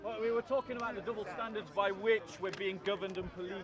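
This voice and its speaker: Bright-voiced man lecturing a crowd. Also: high voice, steady tone, fast. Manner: declaiming to onlookers, talks over hecklers. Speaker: street speaker. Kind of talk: outdoor debate speech. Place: outdoors in a city park.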